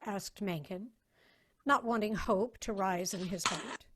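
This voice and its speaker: A woman's voice: mid-range voice, takes it slowly, background noise. A woman reading scripted narration for a dramatic science fiction story.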